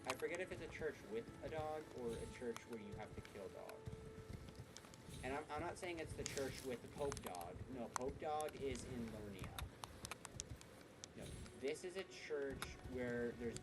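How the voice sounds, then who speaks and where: A man's voice: medium pitch, expressive, normal speed, very noisy. A man streaming a video game and chatting casually to viewers, rambling and correcting himself as he plans his route. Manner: rambling, self-correcting, jokey. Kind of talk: casual gameplay commentary.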